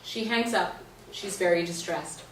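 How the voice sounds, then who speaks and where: A woman's voice: lowish voice, measured pace. A woman narrator reading stage directions in a scripted play reading. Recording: roomy stage, distant mic, faint noise.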